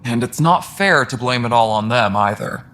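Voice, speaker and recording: An actor playing a thoughtful, fair-minded alien engineer in a video game, speaking in an even-tempered, reflective way. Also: male voice, medium pitch, normal speed, close to an everyday voice. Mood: solemn, reflective. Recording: faint station background noise.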